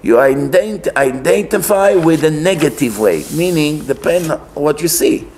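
High-pitched Israeli-accented male rabbi lecturing. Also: animated, a little slow, a little mellow, a little hiss or hum. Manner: explanatory, emphatic, rhetorical questions, repeats phrases. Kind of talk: religious lecture, conversational preaching. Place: indoors, wood-panelled synagogue, seated at a lectern.

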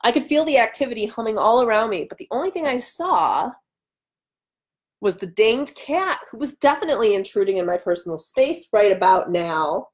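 A woman's voice: fairly high pitch, very animated, moderate pace, husky. Give the voice of a woman in her thirties, congested and nasal with a cold. She reads a novel aloud to a live audience online and chats casually between passages. It is picked up by a webcam at home. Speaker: author hosting a live reading. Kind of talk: live book reading with casual chat. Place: small room at home, indoors. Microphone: webcam at arm's length.

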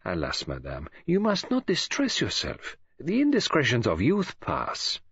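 A man's voice: mid-range voice, wildly swooping pitch, a little slow, a bit bright, husky, lo-fi sound, heavily acted. A man voicing a courteous, soothing elderly foreign detective who speaks in a formal, unruffled, philosophical way, in an audiobook.

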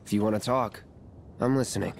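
An actor with an American accent playing an aloof, hard-edged young mercenary with a terse, guarded delivery in a video game. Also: male voice, lowish voice, fairly even intonation, a little fast, creaky, slight background noise. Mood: guarded, quiet. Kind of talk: video game cutscene voice acting.

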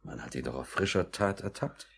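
A man playing a calm, worldly, sceptical doctor in a 1950s German radio drama, measured and courteous. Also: very deep voice, vocal fry, slight background noise, full character voice. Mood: sober, sceptical.

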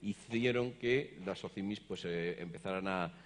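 A middle-aged man sits on a conference stage, moderating a panel. His voice is low and deep, and he explains hesitantly, often stumbling over repeated words. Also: measured pace. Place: conference stage, seated in an armchair.

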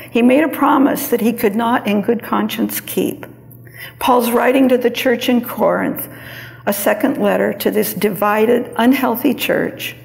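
Older lady giving a sermon, real slow and careful, creaky voice, big echoey church. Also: a little high, darkish tone. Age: older, around 70s. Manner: measured, deliberate, reflective, with rhetorical questions. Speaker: preacher. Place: large church sanctuary, indoors, at a lectern. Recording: reverberant church hall.

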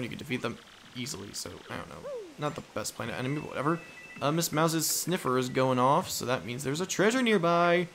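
A young adult man giving casual, rambling commentary as he plays a video game. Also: mid-range voice. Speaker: let's play host. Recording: game music behind voice. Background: video game music.

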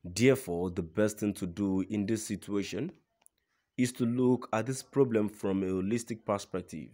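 A man's voice: on the low side, moderate pace, mellow. A man narrating a scripted news report in a formal read-aloud manner.

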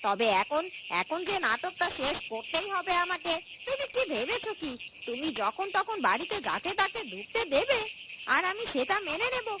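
A woman's voice: very high-pitched, big pitch swings, normal speed, heavy background noise. A woman playing an angry, domineering housewife who scolds emphatically in a fairy-tale cartoon. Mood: angry, scolding. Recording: loud night insect ambience behind.

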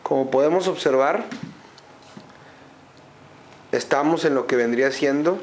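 A man giving a slow, methodical walkthrough of an empty home, listing its features room by room. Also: medium pitch, slight background noise. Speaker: home sales guide. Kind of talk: walkthrough narration.

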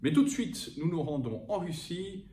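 A middle-aged man hosting a travel news show, introducing segments with lively emphasis from a room overlooking an airport. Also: high voice, moderate pace. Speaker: host. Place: indoors by a window over an airport. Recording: roomy, from a distance, faint noise.

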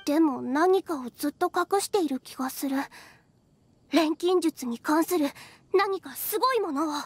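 A woman's voice: high-pitched, unhurried, a little hiss or hum. A woman voicing a shy, earnest young female alchemist in an anime game, slightly flustered. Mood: earnest, intrigued. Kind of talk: Japanese anime game voice acting. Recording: game music behind.

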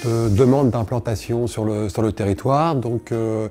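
Man in his forties, soft dark tone, hesitant delivery, repeated words, promotional interview, faint music underneath. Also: medium pitch, moderate pace. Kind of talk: unscripted explanation to camera in a promotional video. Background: background music.

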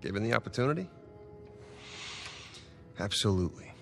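An actor playing a wry, restless American treasure hunter in a video game cutscene, speaking casually and haltingly. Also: male voice, fairly low pitch, very animated, creaky, faint music behind. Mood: wry, confident.

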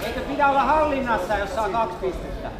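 Male mat-side coach, clipped repeated instructions, roomy hall, loud crowd. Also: extremely high pitch. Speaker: wrestling coach. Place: large indoor sports hall. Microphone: far camera. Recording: echoey sports hall with crowd noise.

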